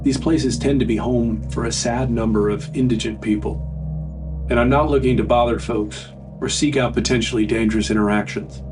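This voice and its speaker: A man narrates a scripted horror story in the first person. His voice is medium in pitch and sits a little way off from the mic, with music clearly playing behind it. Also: fairly even intonation, normal speed, far from the mic.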